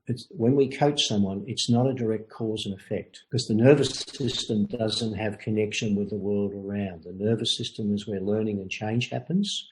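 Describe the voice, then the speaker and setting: An older man coaching a group in a webinar, talking in a measured, thoughtful way with frequent pauses. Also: lowish voice, a little mellow, rough recording. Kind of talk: informal lecture and Q&A.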